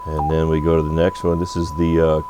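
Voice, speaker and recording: A man's voice: a bass voice, completely flat delivery, a little fast. A man giving an unscripted, hands-on product demo, thinking aloud in an off-the-cuff way. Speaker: radio repair bench presenter. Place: electronics repair workbench. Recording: noisy recording with electrical hum.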